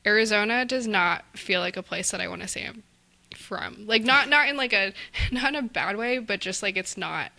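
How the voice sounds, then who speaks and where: A woman in her twenties from Texas, co-hosting a podcast in relaxed, casual chat full of likes and ums. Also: mid-range voice, moderate pace, vocal fry. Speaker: podcast co-host.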